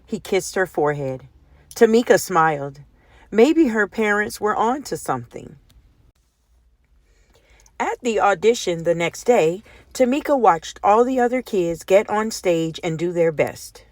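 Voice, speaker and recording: A woman reading a children's picture book aloud in an expressive storytime style. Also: medium pitch, slow, pauses often, no echo. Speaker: storytime reader.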